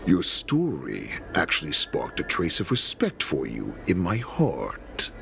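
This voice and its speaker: An actor playing a savage, proud wolf-like warlord in a video game, growling with menace and contempt. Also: male voice, huge pitch swings, slow, background noise, full character voice. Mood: menacing contempt. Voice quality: deep gravelly growl. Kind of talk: theatrical video game villain acting. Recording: dramatic music behind. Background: music.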